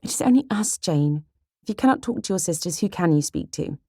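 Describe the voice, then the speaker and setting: A woman's voice: lowish voice, expressive, a little fast, dark tone, slightly rough, no echo, studio quality, voice pushed up. A woman voicing an outspoken, impatient young sister in a period romance audiobook, with a blunt, emphatic delivery. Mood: impatient, teasing.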